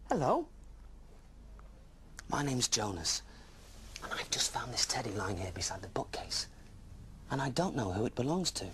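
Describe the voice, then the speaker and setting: A man telling a bedtime story to young children, gently addressing the listener with questions. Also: medium pitch, big pitch swings, moderate pace, long pauses, slight background noise.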